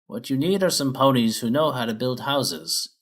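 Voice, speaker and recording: A man's voice: medium pitch, expressive, moderate pace, pitched above the performer's own voice, close to an everyday voice. A man voicing a helpful old male pony trader in a storybook, explaining things patiently.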